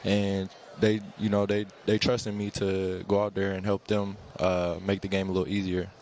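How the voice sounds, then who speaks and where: Flat-voiced young male athlete courtside. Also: sits low, normal speed, a little hiss or hum. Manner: flat, polite, stock phrases. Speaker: rookie basketball player interviewed courtside. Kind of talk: postgame courtside interview. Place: arena courtside.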